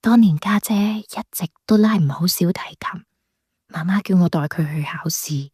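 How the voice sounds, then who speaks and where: Actress as shy younger sister, Hong Kong Cantonese accent, gentle, confiding, dark mellow low voice, radio drama. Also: female voice, moderate pace, slightly rough, dry recording, clean studio sound. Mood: secretive, confiding. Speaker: voice actor.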